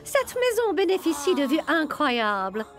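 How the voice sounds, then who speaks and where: A woman's voice: sits high, big pitch swings, a little slow, music in the background, full character voice. A woman playing a gushing, persuasive luxury estate agent in a cartoon, with theatrical sales patter. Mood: showy, gushing. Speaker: voice actor in a children's animated series.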